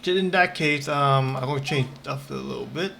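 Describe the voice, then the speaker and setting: A man chatting casually as a game streamer, rambling and halting, often repeating himself. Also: medium pitch, vocal fry, pushed and bright, a little hiss or hum. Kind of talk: casual livestream chat.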